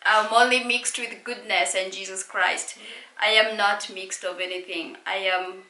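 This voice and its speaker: A Kenyan woman in her late twenties speaks in a bright, forward voice at a middling pitch. She is playful and chatty, like someone co-hosting a casual vlog. The sound carries the echo of the room around her. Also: fairly even intonation, a little slow. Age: late 20s. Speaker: vlogger co-hosting a couples' channel. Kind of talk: casual Q&A chat. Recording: echoey room.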